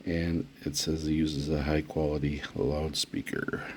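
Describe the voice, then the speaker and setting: A man giving unscripted unboxing commentary as a product reviewer, speaking haltingly with frequent pauses mid-phrase. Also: extremely low pitch, flat delivery, heavy background noise.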